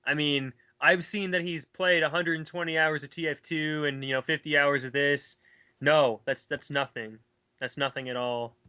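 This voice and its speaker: A man's voice: fairly high pitch, steady tone, moderate pace, pushed and bright, clean tone. A man giving casual, mocking commentary in a sarcastic, argumentative and exasperated way. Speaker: commentator reading and rebutting online comments.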